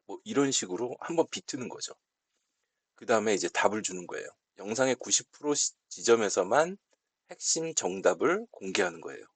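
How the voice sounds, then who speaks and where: A man explaining software settings step by step in an unscripted tutorial, speaking politely as an instructor. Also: on the low side, animated, fairly quick, husky.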